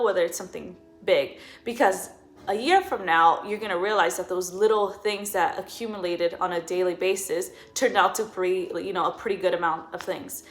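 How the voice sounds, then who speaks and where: A woman in her twenties talking earnestly in a personal vlog. She often repeats words for emphasis. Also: medium pitch. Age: 20s. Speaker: vlogger.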